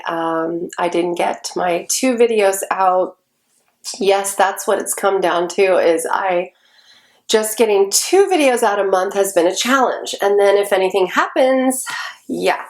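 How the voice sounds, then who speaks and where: A woman in her forties, stuffy and congested, chatting casually to the camera in a rambling way with lots of ums. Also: mid-range voice. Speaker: vlogger.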